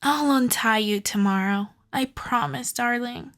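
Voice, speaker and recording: A woman's voice: on the high side, unhurried, soft background music. A woman playing an obsessive, sweetly possessive young kidnapper in love, coaxing and doting in an ASMR audio roleplay. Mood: doting, reassuring. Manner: doting, coaxing, softly controlling.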